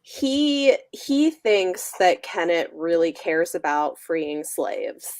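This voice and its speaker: A woman's voice: fairly low pitch, expressive, takes it slowly, darkish tone, dry recording. A woman co-hosting a casual book discussion, thoughtful and wry, full of likes and restarts, on a video call. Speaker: book club co-host.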